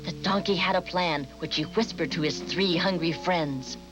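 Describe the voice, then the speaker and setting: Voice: medium pitch, moderate pace, rough recording. A narrator telling a fairy tale in a scripted storytelling style. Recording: music behind the voice.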